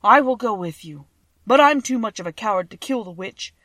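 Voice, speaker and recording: A woman voicing an earnest, fearful male lion in a storybook reading, loud, emphatic and plaintive. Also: mid-range voice, lively intonation, a little fast, no room sound, pitched above the performer's own voice. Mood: loyal, fearful. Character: cowardly male lion, earnest, fearful, self-pitying beast.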